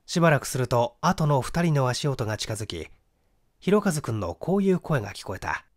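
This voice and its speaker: Expressive male mystery narrator. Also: medium pitch, brisk. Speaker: audiobook reader, stage actor. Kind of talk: dramatic scripted narration of a mystery novel.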